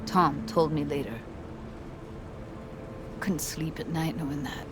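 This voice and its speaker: A woman's voice: low voice, steady tone, slow pace, noisy background. A woman acting a blunt, streetwise, hotheaded and grieving young woman in a naturalistic video game performance. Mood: sorrowful, brooding.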